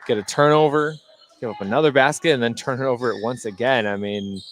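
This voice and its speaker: A man's voice: mid-range voice, fairly quick, a little hiss or hum. An American man giving conversational analysis as colour commentator on a live basketball broadcast. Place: indoor basketball gym.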